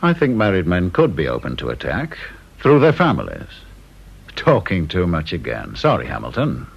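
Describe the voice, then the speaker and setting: Sardonic British security chief, gravelly, mock-polite radio drama villainy-free wit, dull old recording. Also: male voice, low-pitched, huge pitch swings, moderate pace, exaggerated voice. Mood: sardonic, probing. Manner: dry, teasing, mock-polite. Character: sardonic, talkative male senior security interviewer.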